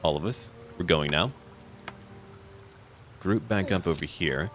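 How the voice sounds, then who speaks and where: A man giving casual let's-play commentary, thinking aloud in a chatty, relaxed way and calling out to his party. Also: deep voice, unhurried, soft background music. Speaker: gamer playing a role-playing game.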